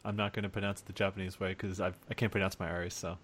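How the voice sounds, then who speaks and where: A man's voice: sits low, flat delivery, quick pace, a bit bright, a little hiss or hum. A man hosting a casual chat podcast, explaining things in a hesitant, meandering way. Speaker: podcast host discussing video games.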